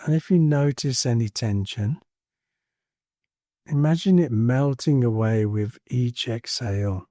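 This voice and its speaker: A man leading a guided meditation in a calm, soothing manner, leaving space between short phrases. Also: mid-range voice, unhurried, dry recording, clean studio sound. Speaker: meditation teacher. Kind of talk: guided body scan meditation.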